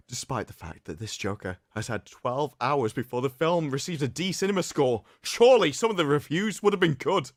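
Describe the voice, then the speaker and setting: A male livestream host delivers a mock-solemn dramatic reading and breaks into sarcastic commentary. His voice swings widely in pitch and is theatrical throughout. It is recorded close and very dry. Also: a bit bright, no room sound.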